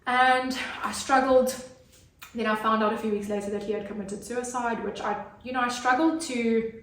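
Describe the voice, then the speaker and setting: A woman's voice: fairly high pitch, steady tone, moderate pace, fairly bright tone. Earnest woman in an echoey room. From Zimbabwe. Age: 30s. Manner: earnest, hesitant, many fillers. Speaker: guest. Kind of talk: personal story in an interview. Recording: roomy, distant mic.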